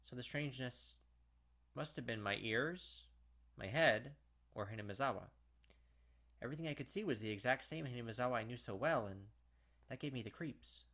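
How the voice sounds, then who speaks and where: A man's voice: mid-range voice, moderate pace, pauses often, fairly bright tone. A man hosting a let's play, reading visual novel narration aloud and adding casual commentary. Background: game music.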